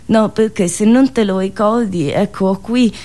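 A woman's voice: mid-range voice, moderate pace, warm tone, lightly acted. A woman voicing a self-assured male village priest in a confessional, speaking in a confidential, insinuating way. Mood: sly, coaxing.

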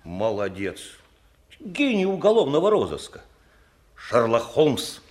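A man playing a good-natured police lieutenant in a 1960s Soviet radio play, informal, coaxing and gently ironic. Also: medium pitch, wildly swooping pitch, measured pace. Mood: wry, curious.

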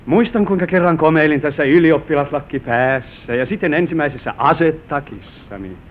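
Actor as a tender young husband, vintage radio. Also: male voice, close to an everyday voice. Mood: gallant, playful. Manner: tender, gallant, expressive stage delivery. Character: affectionate newlywed husband proudly showing his bride the family manor. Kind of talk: old Finnish radio play acting. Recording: narrow-band, hissy vintage radio recording with rumble.

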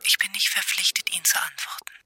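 A woman's voice: fast, bright, forceful tone. An actress playing a cool, guarded wife of a rich man in a radio drama, whispering curt, evasive replies. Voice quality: breathy, whispered.

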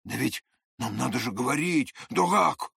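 A man voicing a spiteful, pushy young swindler with an irritable, scornful, excitable manner in an audiobook. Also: fairly high pitch, unhurried, vocal fry, breathy edge, no echo, studio quality, voice pushed up, heavily acted. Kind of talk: dramatized audiobook character voice.

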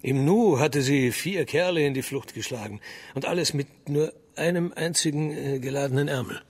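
An actor playing a hearty, roguish soldier with an eye for women in a historical crime radio drama. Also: male voice, on the high side, normal speed, husky. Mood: sheepish, amused.

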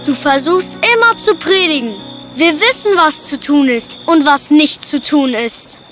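A woman's voice: sits high, expressive, unhurried, fairly bright tone, faint music behind. A woman voicing a cocky, carefree young male pig with a boastful, breezy manner in a children's fairy-tale cartoon. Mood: defiant, confident.

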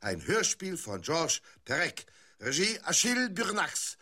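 A man's voice: on the high side, sing-song, a little slow, husky, heavily acted. A man playing a grandiloquent announcer and ham tragedian in a radio play, pompous and declamatory. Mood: grand announcing. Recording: loud music behind.

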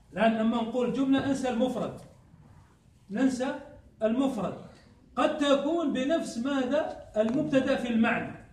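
A man's voice: extremely high pitch, a little mellow. A man teaching grammar to a class, often stopping to quiz his students with prompt questions they answer aloud. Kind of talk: classroom lesson. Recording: echoey room, distant mic.

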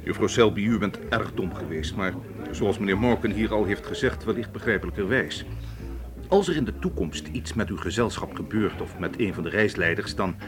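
An actor playing a polite but suspicious, stern Egyptian police inspector in a radio drama, questioning formally and insistently. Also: male voice, on the low side, lively intonation, moderate pace, over music, close to an everyday voice. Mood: stern, admonishing.

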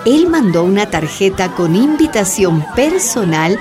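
A woman's voice: mid-range voice, very animated, unhurried, music in the background, crowd noise. A woman reading scripted narration for a historical radio drama.

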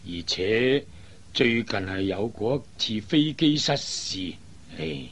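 A man's voice: low-pitched, a bit bright, full character voice. A man playing a worried, old-fashioned middle-aged father in a Cantonese radio drama, fretful and hesitant. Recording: faint background noise.

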